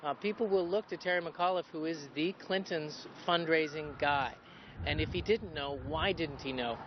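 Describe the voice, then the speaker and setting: A man's voice: on the high side, moderate pace. A man giving plain-spoken political commentary in an interview, with some hesitant fillers, recorded outdoors in a city. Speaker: political analyst. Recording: dull lo-fi with faint street noise.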